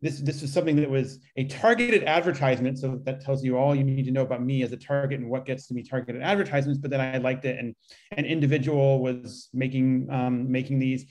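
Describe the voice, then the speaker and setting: A man's voice: medium pitch, fairly even intonation, fast talker, a little mellow, poor audio. Male panelist, mid register, unassuming, wordy casual explanations. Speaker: panelist, reader. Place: indoor room, video call.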